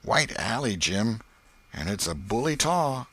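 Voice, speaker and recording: A young schoolboy, as a man plays him in a storybook, eager to strike a bargain. His voice is lifted higher, and he coaxes in emphatic, colloquial speech. The sound is dry. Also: sing-song, a little slow, fairly bright tone, no echo, heavily acted. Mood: tempting.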